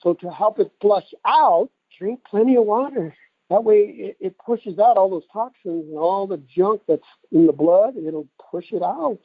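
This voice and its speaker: A man's voice: high-pitched, measured pace, no room sound. A middle-aged American man giving an informal, rambling testimonial sales talk over a telephone conference line. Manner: folksy, rambling, conversational. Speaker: product promoter giving a testimonial on a conference call. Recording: tinny band-limited phone line.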